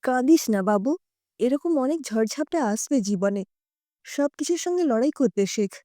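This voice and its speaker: Story role: woman reading a caring, firm father, reassuring, high for a man and very soft-toned. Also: animated, normal speed, dry recording, clean studio sound. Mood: consoling. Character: consoling father of a grown son, firm but caring.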